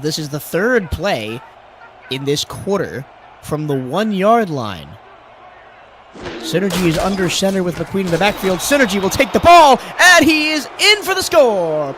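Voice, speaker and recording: A man doing play-by-play for a football broadcast, his voice a little high but hoarse from a recent cold. He surges louder and higher whenever a big play breaks. A faint hiss of noise sits under the recording. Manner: rises sharply in pitch and volume on big plays. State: hoarse, lower voice after a cold. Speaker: play-by-play commentator. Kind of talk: sports play-by-play commentary.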